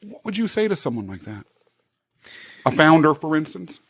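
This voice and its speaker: A man's voice: fairly high pitch, big pitch swings, normal speed, slightly rough, no room sound. A man hosting a podcast, asking thoughtful, probing questions and talking them through as he goes. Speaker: podcast host.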